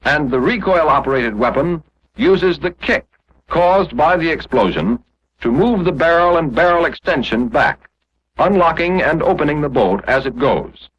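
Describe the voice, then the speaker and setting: Casting: male narrator for a 1940s instructional film, animated intonation, didactic scripted read, muffled lo-fi period sound. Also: a little high, moderate pace. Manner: didactic, clearly explanatory, step by step.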